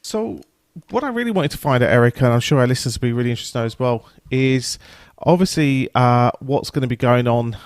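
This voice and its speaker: British male podcast presenter, mid pitch, informal and hesitant, lots of filler sounds, close dry recording. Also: animated, clean tone, dry recording. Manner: hesitant, halting delivery with frequent filler sounds. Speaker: podcast host and news presenter. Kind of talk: informal podcast news read and interview.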